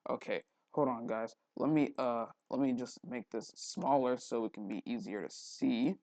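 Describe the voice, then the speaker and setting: A man hosting a casual software tutorial, thinking aloud informally with mild exclamations. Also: medium pitch, normal speed, no room sound. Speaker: tutorial host.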